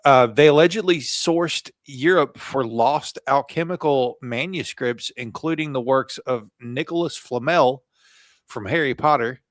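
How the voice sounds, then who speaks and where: A man co-hosting a podcast who reads through his research notes and keeps dropping in offhand jokes. His voice sits at an ordinary pitch, and it is recorded close with a dry, roomless sound. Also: unhurried, no echo. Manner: jokey, reads notes aloud with asides. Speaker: podcast co-host. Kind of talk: casual chat reading from notes.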